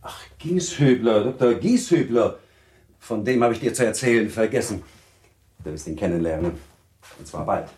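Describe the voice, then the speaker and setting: An actor playing a courteous, gently patronizing baron and older husband in a German radio play, speaking in a measured, formal, reassuring way. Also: male voice, lowish voice, lively intonation, close to an everyday voice. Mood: genial, formal.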